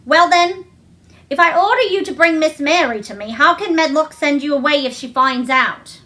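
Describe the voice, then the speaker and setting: A woman's voice: sits high, moderate pace, sharp tone. A woman voicing a spoiled, sickly young boy from a storybook, haughty and commanding. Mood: haughty, demanding.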